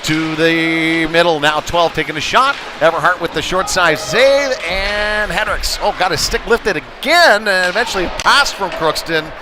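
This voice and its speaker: Higher-voiced man calling hockey, music behind. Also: moderate pace, hardly any pauses. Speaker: play-by-play sports commentator. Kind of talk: live youth ice hockey commentary. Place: chilly ice rink. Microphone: headset.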